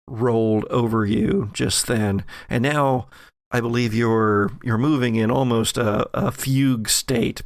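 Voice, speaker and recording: Male game master for horror tabletop audio. Unhurried, deliberate delivery, creaky voice, pauses as the story is built. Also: medium pitch, no room sound, studio quality. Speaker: game master of an actual-play horror podcast. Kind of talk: improvised narration of a tabletop roleplaying game.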